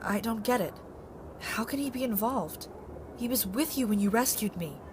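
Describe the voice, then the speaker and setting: A woman playing a gentle, sweet young woman in a sci-fi audio drama. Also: on the high side, animated, moderate pace, natural voice. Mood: bewildered. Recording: clear ambient noise behind.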